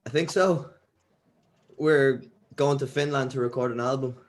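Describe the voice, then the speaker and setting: A man's voice: medium pitch, steady tone, measured pace, lightly acted. A man playing a polite, hesitant musician in an amateur script read-through. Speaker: table read participant.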